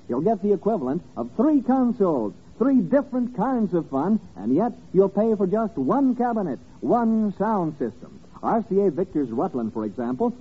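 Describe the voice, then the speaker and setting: A man with a husky voice announcing and reading ads emphatically and formally in 1950s radio style. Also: high-pitched. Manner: emphatic, formal sales delivery. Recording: tinny, band-limited old radio broadcast.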